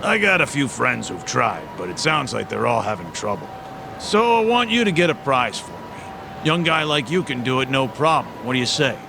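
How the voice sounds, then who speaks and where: Gravelly, chatty homeless guy. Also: medium pitch, sing-song, normal speed, background noise. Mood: chatty, wheedling. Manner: slangy, folksy, familiar. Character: chatty, folksy homeless man trading tips and favors. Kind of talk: video game cutscene acting.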